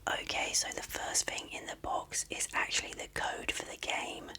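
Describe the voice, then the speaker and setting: A person speaking in a breathy, unvoiced whisper, softly and unhurriedly explaining things as an ASMR unboxing presenter. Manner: soft-spoken, unhurried, explanatory. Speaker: video presenter.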